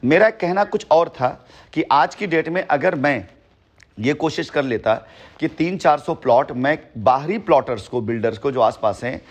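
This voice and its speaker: A man's voice: fairly high pitch, lively intonation, moderate pace. A middle-aged man heading a company, talking assertively and boastfully on a phone call, repeating his points. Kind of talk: heated phone conversation.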